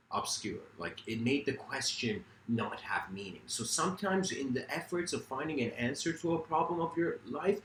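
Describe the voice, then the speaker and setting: A man's voice: mid-range voice, fairly bright tone, reverberant, slight background noise, poor audio. A man from Iran whose first language is Farsi, giving a reflective philosophical talk in a rambling, self-correcting way with many fillers. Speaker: speaker.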